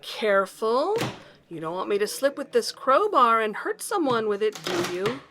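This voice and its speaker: A woman plays a brazen intruder in an audio drama. Her fairly high voice moves in lively swoops. She is coolly menacing and keeps her threats polite. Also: normal speed, background noise.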